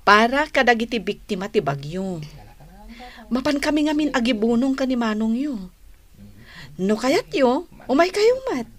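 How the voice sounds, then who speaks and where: Compassionate married woman, somewhat high pitch, gentle, encouraging, radio drama. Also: very animated, moderate pace, long pauses. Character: compassionate married woman, generous older-sister type. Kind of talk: Ilocano radio drama acting.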